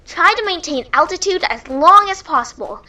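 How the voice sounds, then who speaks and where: High, bright little pegasus filly. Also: female voice, expressive, normal speed, heavily acted. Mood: eager, concentrating. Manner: earnest, hesitant, reciting lessons. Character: eager young filly pegasus, earnest flight pupil. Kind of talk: animated fan fiction audio reading.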